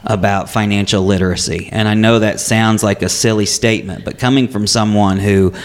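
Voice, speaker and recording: A man hosting a business radio interview in a chatty, animated way, with a fairly high speaking voice for a man. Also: fairly quick. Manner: chatty, animated, frequent restarts. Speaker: radio show host. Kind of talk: casual interview.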